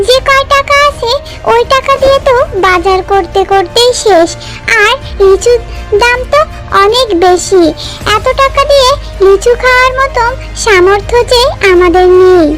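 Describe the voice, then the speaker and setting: A woman playing a caring, easily worried mother fox in a cartoon, earnest and motherly. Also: very high-pitched. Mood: apologetic scolding.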